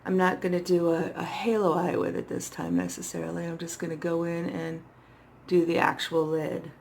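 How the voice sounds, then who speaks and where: A middle-aged woman talks through a makeup tutorial in a hoarse, fairly low voice with a gravelly fry. She is casual and playfully self-deprecating. There is noticeable background noise. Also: normal speed, darkish tone. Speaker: makeup vlogger. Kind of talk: casual makeup tutorial chat, talking to herself while working. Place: small indoor setup with dark backdrop.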